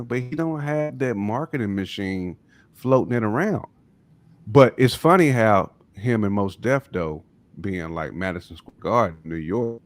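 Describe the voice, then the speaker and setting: An American man with a fairly low voice talks as a panelist on a music podcast. He is casual but opinionated, leaning on key phrases and repeating them for emphasis. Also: no echo. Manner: emphatic, repeats his points. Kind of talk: casual chat, opinionated discussion.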